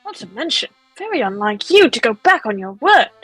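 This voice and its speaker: High, lively-pitched woman co-host. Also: a little fast, soft background music. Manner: playful. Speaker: co-host of a voiced game playthrough. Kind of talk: playful game read-along and banter.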